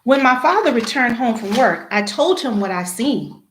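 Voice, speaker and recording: A woman reading a children's picture book aloud, phrase by phrase, with expressive emphasis at the start of sentences. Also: a little high, normal speed, a little hiss or hum. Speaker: read-aloud storyteller. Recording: echoey room.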